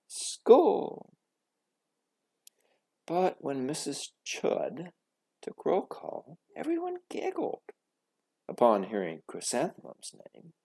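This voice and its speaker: A man reading a children's story expressively, with a dramatic, deliberate delivery full of pauses. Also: a little high, wildly swooping pitch, gravelly, a little mellow, slightly rough. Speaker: storybook reader.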